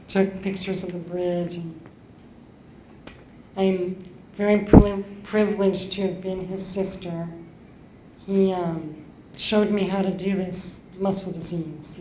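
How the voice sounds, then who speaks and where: A middle-aged woman shares family memories at a memorial. Her voice is low and mellow, with little rise and fall. It is recorded on a stand mic in an echoey room. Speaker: eulogist at a memorial, sister of the deceased. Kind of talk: personal eulogy with anecdotes. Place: indoor hall. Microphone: microphone on a boom stand. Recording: roomy echo, clear background noise.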